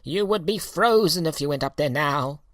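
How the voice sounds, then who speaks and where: A man's voice: fairly high pitch, expressive, normal speed, a bit bright, no room sound, clean studio sound, voice pushed up. A man voicing a small, kindly storybook earthworm who speaks earnestly and emphatically. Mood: shivering, cautioning.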